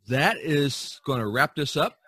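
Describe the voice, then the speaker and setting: A man hosting a podcast, chatting casually and haltingly about his work as an artist. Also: a little high, lively intonation, pushed and bright, breathy edge, no room sound.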